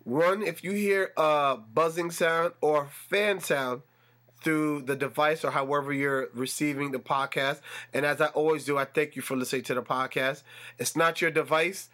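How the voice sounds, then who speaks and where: A man's voice: a little high, moderate pace. Slightly nasal, congested male podcast host. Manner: animated, builds excitement with repetition. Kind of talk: solo sports podcast talk. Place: room with a fan running.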